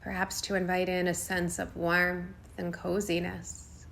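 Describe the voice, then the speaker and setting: A woman guiding a yoga nidra meditation in a soothing, unhurried way, speaking in short phrases with long pauses. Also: lowish voice, monotone, gravelly, a bit bright, a little hiss or hum. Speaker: meditation guide.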